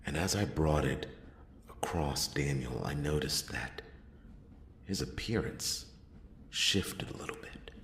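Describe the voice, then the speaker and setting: Man playing a very deep, hushed noir detective. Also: echoey room, slight background noise, full character voice. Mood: hushed wonder. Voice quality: hushed, breathy, often dropping into whisper. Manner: slow, halting, dramatic pauses. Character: brooding male noir detective recounting a murder case. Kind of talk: noir ASMR roleplay, first-person narration.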